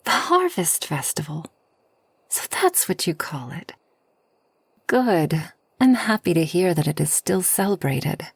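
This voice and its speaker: Actress as kind, solitary forest witch for ASMR roleplay: low and creaky, soft, soothing, slightly formal. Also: very animated, dry recording, heavily acted. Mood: wistful, gentle. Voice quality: soft, breathy, often near whisper. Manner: gentle, unhurried, soothing, slightly formal speech. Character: kind, solitary, long-lived forest witch hosting a traveler.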